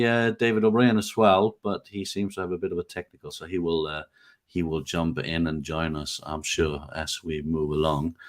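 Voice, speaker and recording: A very deep-voiced man hosts a live stream from a video call. His voice is a little croaky after being unwell, and he talks in a friendly, hesitant way with plenty of ums and uhs. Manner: friendly, hesitant with many fillers. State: slightly croaky from illness. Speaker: host.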